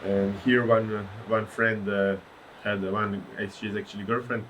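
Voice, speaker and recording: A man from Croatia with a Croatian accent, a professional windsurfer telling his story as a podcast guest, animated and searching for words as he goes. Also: fairly low pitch, normal speed, a little hiss or hum, poor audio. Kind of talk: casual podcast interview.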